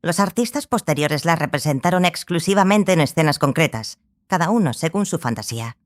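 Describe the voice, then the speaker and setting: A woman playing a stern, obsessive scholarly sorceress in a dramatized audiobook, speaking gravely and deliberately, with pauses. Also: low voice, animated. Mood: instructive.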